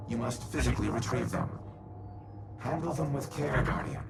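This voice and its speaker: A man voicing a solemn, ancient military artificial intelligence in a video game, speaking in a formal, measured, grave manner. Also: mid-range voice, vocal fry, close to an everyday voice. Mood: guarded, commanding. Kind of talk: scripted video game dialogue. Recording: music playing behind the voice.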